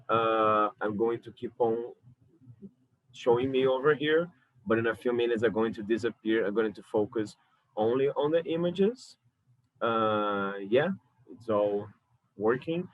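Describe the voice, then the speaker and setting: A man's voice: fairly low pitch, no room sound, muffled recording. A man in his thirties from Brazil with a Brazilian Portuguese accent, speaking English as a second language in a recorded video talk from his apartment, thoughtful and often correcting himself. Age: 30s. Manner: thoughtful, self-correcting, frequent hesitations. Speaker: curator and lecturer. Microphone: camera mic.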